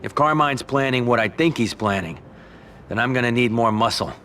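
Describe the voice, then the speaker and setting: An actor playing a tough, vengeful mob boss in a video game, speaking bluntly and tersely. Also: male voice, mid-range voice, moderate pace, fairly bright tone, slight background noise, close to an everyday voice. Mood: guarded. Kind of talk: video game crime drama acting.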